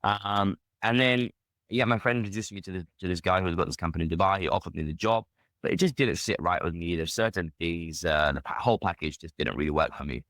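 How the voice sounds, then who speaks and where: A British man in his thirties with a low voice speaks at a brisk clip. He is a podcast guest telling personal stories casually and emphatically, peppering them with conversational tags. The recording is close and dry. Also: a bit bright, dry recording. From United Kingdom.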